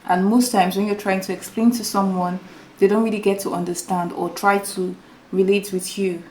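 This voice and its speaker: A woman with a small, soft voice speaks earnestly to her viewers, circling back over her points. Her tone is dark and mellow. The room around her rings with echo. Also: medium pitch, level tone, moderate pace, a little hiss or hum. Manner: earnest and repetitive, addresses viewers as guys. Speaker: YouTuber. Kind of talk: motivational talk to camera. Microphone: new microphone without full setup.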